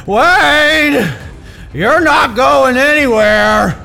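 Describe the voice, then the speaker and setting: An actor playing an exasperated, crude staffer at a sinister facility in an audio drama, grumbling and sighing. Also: male voice, extremely high pitch, extremely slow, gravelly, bright, forceful tone, faint music behind, exaggerated voice. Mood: shouting, urgent. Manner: sighing, grumbling, foul-mouthed.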